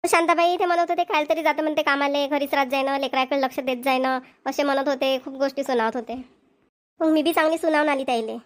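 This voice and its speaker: A headstrong, talkative village mother from a cartoon comedy, acted by a woman. Her voice is very high, and she argues back in colloquial Varhadi Marathi. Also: steady tone, quick pace, natural voice. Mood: defiant, boastful. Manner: argumentative, colloquial.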